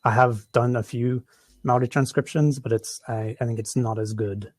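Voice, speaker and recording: A man's voice: medium pitch, steady tone, normal speed, darkish tone, no room sound. A man with an American accent answering audience questions after a conference talk, with lots of ums and restarts. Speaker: conference presenter.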